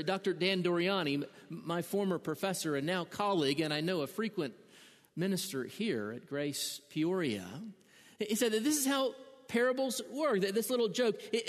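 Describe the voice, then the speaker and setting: A pastor delivers a sermon in a high voice, with long reverb from a large church sanctuary around him. He stammers often and repeats words as he works through his points. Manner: frequent stammered word repeats.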